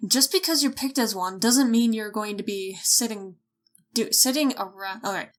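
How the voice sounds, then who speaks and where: A woman's voice: a little high, moderate pace. A woman reading a scripted announcement as a voice-over, foul-mouthed and self-mocking, often stumbling over her lines. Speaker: voice-over reader for a casting call. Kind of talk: scripted announcement with blooper outtakes.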